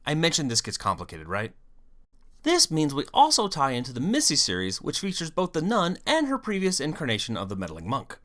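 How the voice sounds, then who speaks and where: A video host delivering scripted fan commentary in an animated, storytelling way with wry asides. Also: mid-range voice, a little fast. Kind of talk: scripted fan commentary on an audio drama series.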